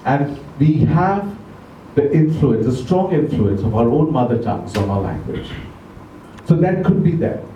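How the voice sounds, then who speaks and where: A man giving an educational talk to a club audience, speaking slowly and deliberately, asking them questions, English not his first language. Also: mid-range voice, sing-song, warm tone, recorded from a distance, lo-fi sound, slight background noise. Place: club meeting room. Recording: echoey room recorded from afar.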